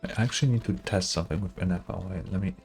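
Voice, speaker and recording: A man's voice: low voice, very fast, creaky, darkish tone, faint music behind. A man giving casual reaction commentary as a gaming streamer, informal, wry and sweary.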